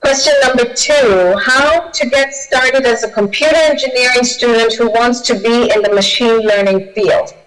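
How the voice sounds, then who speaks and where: A woman's voice: a little high, normal speed, hardly any pauses, rough recording. A woman from Kenya, a guest speaker on a video call, answering audience questions with many fillers and restarts. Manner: hesitant, with frequent fillers and restarts.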